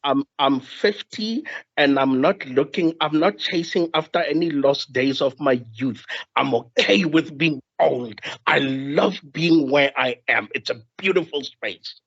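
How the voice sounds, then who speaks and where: A man's voice: on the high side, unhurried, a bit bright, husky. An advocate in his fifties, speaking in an easygoing, personal way on a panel. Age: 50s. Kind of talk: panel discussion. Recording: muffled video call audio.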